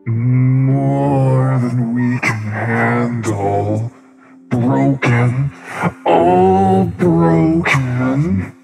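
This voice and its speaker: A man playing a cryptic spirit of light in a fantasy audio drama, speaking in halting, ominous short phrases. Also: medium pitch, steady tone, gravelly, loud music behind the voice, heavily acted. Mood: grave foreboding. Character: cryptic omen-speaking spirit of pixelated light, grave and foreboding.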